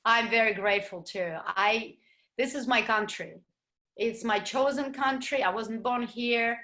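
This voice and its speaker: A middle-aged woman, speaking in a slightly high voice. She tells her story as an interview guest in an animated way. She acts out what others said to her. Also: measured pace. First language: Russian. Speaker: guest, ballroom dancer. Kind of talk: casual interview chat.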